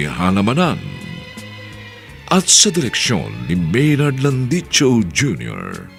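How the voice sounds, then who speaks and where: A male announcer reads dramatic narration for a radio serial over music. His low voice moves slowly, with hugely animated swings in pitch. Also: creaky.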